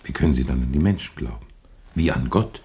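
An actor playing an earnest, courteous priest in a German radio drama, speaking in a deliberate, rhetorical and insistent way. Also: male voice, a bass voice, expressive, vocal fry, a little mellow, breathy edge, exaggerated voice. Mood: fervent, insistent.

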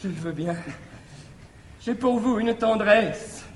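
A man's voice: high voice, slow. An actor playing a wily servant who feigns alarm to trick his master, in a theatrical classical stage comedy style. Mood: scheming, coaxing. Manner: theatrical, exclamatory, feigned panic. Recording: echoey old live theatre recording, hiss.

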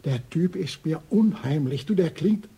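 A man's voice: a little high, animated, measured pace, vocal fry, warm tone, husky, exaggerated voice. An actor playing an anxious, nagging businessman in a radio crime drama. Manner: worried, nagging. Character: anxious, jittery male investment businessman.

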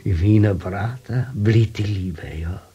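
Husky, slow-spoken old man. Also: on the low side, dark tone, dull audio, full character voice. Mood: nostalgic, animated. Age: older. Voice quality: husky, breathy, creaky voice. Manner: halting, murmured phrases with repeated 'ja'. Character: whimsical old junk-shop keeper, sly and wistful. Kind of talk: radio drama acting.

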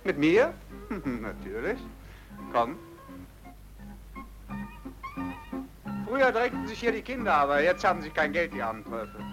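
A man's voice: fairly high pitch, very animated, normal speed, music in the background, low-quality recording. A male actor playing a jovial, cynical racketeer in hiding in a German drama, breezy and dismissive in manner. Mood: breezy, amused. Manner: breezy, dismissive, sighing and chuckling. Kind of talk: German radio drama or film-dub acting.

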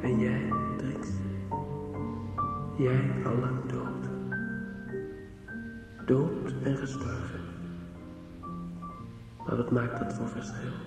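An actor playing a tender, grieving young son in a radio drama, speaking in a hushed, dreamy, repetitive way. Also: male voice, medium pitch, expressive, drawn-out words, vocal fry, long pauses, full character voice. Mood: dreamy, morbid. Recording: very echoey hall-like reverb, music behind. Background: music.

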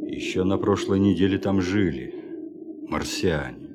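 Deep, slow, grave ship's doctor. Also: male voice, heavy background noise. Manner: measured, weighty pauses. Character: grave male ship's doctor and geologist, sombre explorer. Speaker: actor in audio play. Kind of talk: theatrical radio drama acting.

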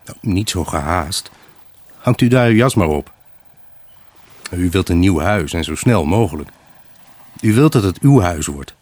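An actor playing a wistful, evasive husband left alone, in a halting, pensive radio drama monologue. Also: male voice, sits low, lively intonation, a little mellow. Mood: guarded, prickly. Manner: halting, pensive, addressing an unseen buyer. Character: wistful, evasive abandoned husband selling his house.

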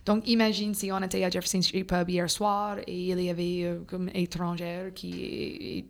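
A young woman from Louisiana, an English speaker who teaches French, chats with a low voice and a gravelly, fry-laden edge. She hesitates often, restarts her sentences and fills gaps with "euh", slipping English words in along the way. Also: flat delivery. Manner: hesitant, halting, full of fillers and restarts, mixes in English words. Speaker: French teacher, podcast guest. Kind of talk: casual conversation.

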